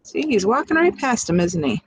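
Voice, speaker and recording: A woman reading a picture book aloud expressively, pausing to chat to young listeners and ask them questions. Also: on the high side, sing-song, slightly rough, low-quality recording. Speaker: storybook reader.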